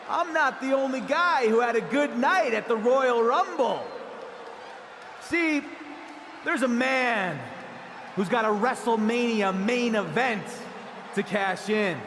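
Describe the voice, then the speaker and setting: A proud champion wrestler, high-pitched and projecting like a showman. He speaks slowly in a scripted promo, his voice ringing through a big arena. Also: a bit bright, exaggerated voice. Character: proud, showy young male champion wrestler. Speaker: pro wrestler. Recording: arena reverb with crowd noise.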